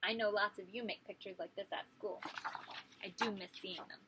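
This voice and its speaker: A woman teacher reading a story aloud to young children, animated and asking them questions. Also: mid-range voice, fairly quick, slight background noise, poor audio. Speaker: pre-kindergarten teacher.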